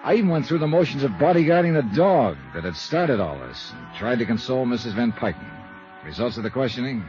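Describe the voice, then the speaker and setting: A man's voice: medium pitch, very animated, a little fast, darkish tone, faint music behind, close to an everyday voice. An American man playing a cool, wry, hard-boiled private investigator in a 1950s radio drama. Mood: businesslike. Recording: noisy, muffled 1950s radio recording.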